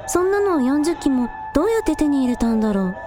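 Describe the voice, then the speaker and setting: A woman's voice: high-pitched, sing-song, quick pace, music in the background. A woman playing an earnest, practical young shrine helper in a radio drama. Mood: focused, curious.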